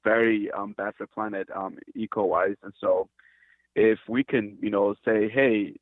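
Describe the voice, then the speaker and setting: A man from Cameroon speaks in a low, fairly monotone voice, stopping and restarting as he searches for words. He comes through a thin, tinny phone line with a dry, close sound. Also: moderate pace, no echo. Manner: hesitant, restarts often, earnest. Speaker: company founder, guest. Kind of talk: casual interview talk.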